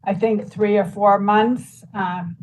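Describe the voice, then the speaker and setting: A woman's voice: mid-range voice, fairly even intonation, takes it slowly, darkish tone, slight background noise, low-quality recording. A woman county commissioner speaking at a public meeting, rambling and filling her talk with "you know" and "like". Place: meeting room.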